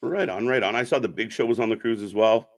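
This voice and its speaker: A man's voice: medium pitch, fast talker. A man hosting an informal interview in casual chat style, often repeating short affirmations. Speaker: host of a wrestling fan channel.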